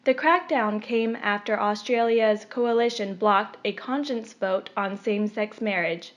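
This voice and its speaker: A woman reading a scripted opinion article aloud in a measured, formal delivery. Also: medium pitch. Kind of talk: scripted narration. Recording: dull, lo-fi recording.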